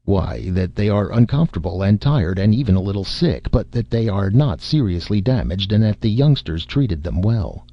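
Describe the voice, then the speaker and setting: A man reading aloud, voicing a composed astronomer who speaks in a measured, insistent way, in a slightly muffled dry recording. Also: lowish voice, lively intonation, no echo. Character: composed, probing male astronomer.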